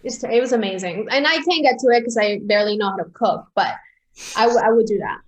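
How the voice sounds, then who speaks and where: Brief: young woman from Peru, talkative interview guest, fairly high voice, frequent restarts. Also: fast, poor audio. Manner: chatty, many restarts and fillers, laughs easily. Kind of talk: casual interview chat.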